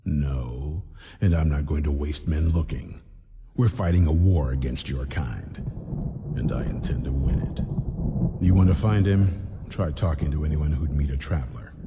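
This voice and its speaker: An actor playing a stern, hostile vampire-hunter commander in a video game, with a gruff, blunt, threatening delivery. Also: male voice, extremely low pitch, normal speed, very noisy. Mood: gruff, dismissive. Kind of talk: video game dialogue acting. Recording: hall reverb, music clearly behind.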